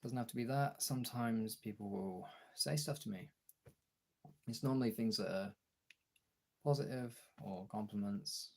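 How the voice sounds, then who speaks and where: A man chatting casually as a streamer, thinking aloud haltingly as he searches for words and trails off. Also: fairly low pitch, creaky, a little mellow.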